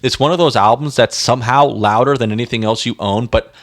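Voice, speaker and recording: A man's voice: medium pitch, fairly quick. A man co-hosting a music podcast, chatting casually in a blunt, rambling, slangy way. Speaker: podcast co-host.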